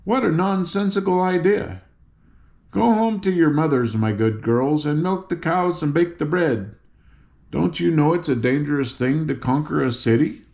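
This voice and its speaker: A man's voice: on the high side, moderate pace, gravelly, a little mellow, voice pushed up. A man voicing a genial, flustered city gatekeeper with a folksy, fussy way of exclaiming. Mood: astounded, scolding.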